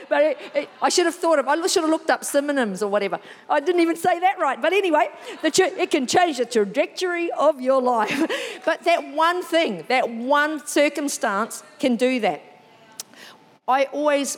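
A woman with a New Zealand accent preaching a sermon, lively and chatty, with asides that poke fun at herself. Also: on the high side, long reverb tail. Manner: lively, warm storytelling with self-deprecating asides.

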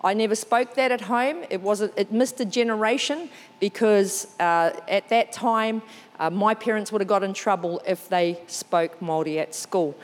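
A woman with a New Zealand accent preaching a sermon, lively and chatty, with asides that poke fun at herself. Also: medium pitch, normal speed, long reverb tail. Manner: lively, warm storytelling with self-deprecating asides.

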